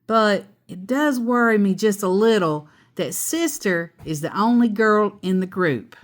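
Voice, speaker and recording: A woman voicing a thoughtful, gently worried mother bear in a storybook, mildly fretful as she reasons. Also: mid-range voice, unhurried, natural voice.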